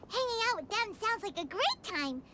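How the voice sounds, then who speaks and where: A woman's voice: very high-pitched, big pitch swings, normal speed, a loud crowd. A woman voicing a tiny, childlike, chirpy fairy companion in an anime video game. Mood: cheerful. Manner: chirpy, exclaiming.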